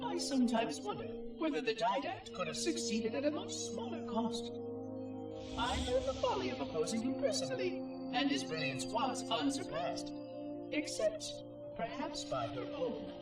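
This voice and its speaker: A man's voice: extremely high pitch, huge pitch swings, normal speed, heavily acted. A male actor playing a lonely, fretful ancient machine caretaker in a game cutscene, musing to itself in prim, formal, precise speech. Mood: musing, uneasy. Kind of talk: theatrical game cutscene monologue. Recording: echoey, distant, loud music behind. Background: loud music.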